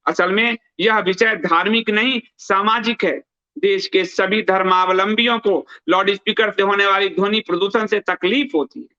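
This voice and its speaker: A man's voice: high-pitched, steady tone, moderate pace, pushed and bright. A man hosting news commentary, reading aloud and adding emphatic remarks, repeating phrases for stress, in a dull lo-fi recording. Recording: dull, lo-fi band-limited sound.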